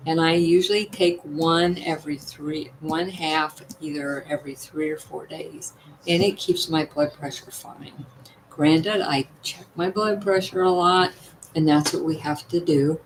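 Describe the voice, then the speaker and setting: Brief: older woman, low weakened voice, chatty and emphatic, light background hiss. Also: fairly even intonation, measured pace, low-quality recording. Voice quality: voice partly worn, weak. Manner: chatty, emphatic, asks "you know what?". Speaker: vlogger sharing health tips. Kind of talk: casual talk to viewers.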